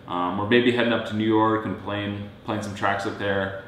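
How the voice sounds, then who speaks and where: A man in his thirties from Serbia, co-hosting a casual chat in a relaxed, rambling way. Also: moderate pace. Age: 30s. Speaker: co-host. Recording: very echoey room, faint rumble.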